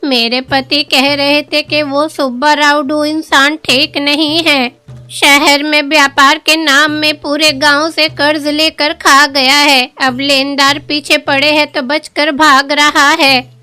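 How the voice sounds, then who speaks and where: Sly cartoon village gossip, female, high and drawn out. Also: little pitch movement, takes it slowly, sharp tone, soft background music, exaggerated voice. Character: gossipy village neighbor woman, sly and scheming.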